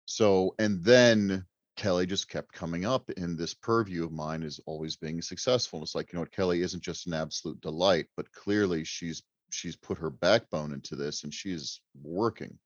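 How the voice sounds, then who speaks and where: A man's voice: sits low, steady tone, normal speed. A man chatting casually as a guest author on a podcast, wordy, self-deprecating and joking. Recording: roomy, slightly dull microphone sound.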